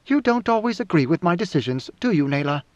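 A man voicing a cool, commanding young woman rebel leader in an audiobook, measured, imperious and exacting. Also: high voice, very animated, voice pushed up. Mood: firm, questioning. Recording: roomy, slightly muffled recording.

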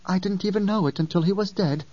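An earnest, distressed woman who begs and pleads for the child in her care, as a male narrator raises his pitch for her. The sound is dull and set back from the mic, dry with almost no reverb. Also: quick pace, darkish tone. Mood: pleading distress. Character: anxious devoted adult woman, a guardian.

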